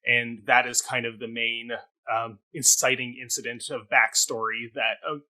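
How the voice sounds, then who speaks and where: Bright-voiced, rambling Canadian man. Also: mid-range voice, little pitch movement, normal speed, low-quality recording. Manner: rambling, hesitant, self-deprecating. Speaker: co-host and reviewer. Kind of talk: casual chat, improvised synopsis.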